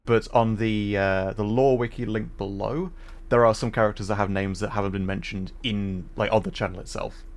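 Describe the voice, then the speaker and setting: A man's voice: on the low side, steady tone, normal speed. A man hosting a gaming livestream, chatting casually in a polite, hesitant way. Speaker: livestream host, VTuber. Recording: faint background noise.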